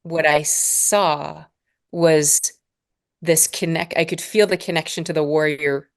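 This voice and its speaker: Guest role: woman in her forties from Northern Alberta, low voice, calm unhurried spiritual talk. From Northern Alberta, Canada. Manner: slow, measured, spiritual phrasing with pauses. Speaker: podcast guest, spiritual mentor. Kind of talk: reflective interview conversation.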